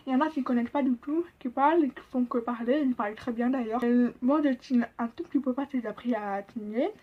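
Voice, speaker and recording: A young deaf woman with a deaf-speech accent, explaining things in a casual vlog, halting with many pauses and fillers. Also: sits high. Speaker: vlogger. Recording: dull lo-fi sound.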